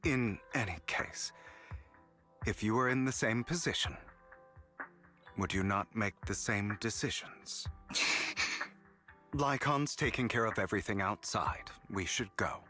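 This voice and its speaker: Smooth showy con man in a game, slow and dramatic, bright tone. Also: lowish voice, faint music behind. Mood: theatrical. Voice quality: smooth, dramatic, sometimes whispering. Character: suave theatrical male master con artist. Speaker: voice actor. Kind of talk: game cutscene acting.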